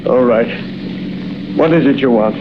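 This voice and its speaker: An actor playing an indignant city commissioner who demands answers, in a 1940s radio drama, on a muffled old recording with hiss. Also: male voice, a little slow, natural voice. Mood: outraged. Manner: outraged, demanding, high and strained.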